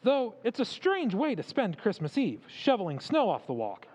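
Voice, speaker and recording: A polite tutor played by a man in an old-time radio drama. The voice is high and very lilting, with proper, careful phrasing and a gently cautioning manner. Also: wildly swooping pitch, moderate pace, exaggerated voice. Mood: indulgent.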